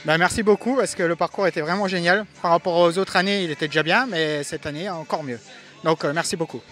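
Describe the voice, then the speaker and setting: A man's voice: on the high side, quick pace, a bit bright, faint music behind. A man reporting from a trail race outdoors. He chats cheerfully with runners and organizers, with the sound of a camera mic. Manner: chatty, upbeat, teasing runners. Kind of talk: casual on-the-spot reporting.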